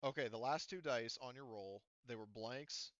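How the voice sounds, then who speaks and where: A man's voice: medium pitch, moderate pace, fairly bright tone, dry recording, dull audio. A man talking casually as game master, explaining tabletop game rules in a hesitant way, thinking aloud.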